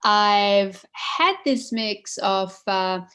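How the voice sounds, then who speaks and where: A woman's voice: mid-range voice, extremely slow, fairly bright tone. Female panel guest, thirties, mid-range voice, casual personal storytelling on an online call. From Switzerland. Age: 30s. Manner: informal, frequent "like", chuckles mid-sentence. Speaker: guest, impact investor and founder. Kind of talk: informal personal account in an interview.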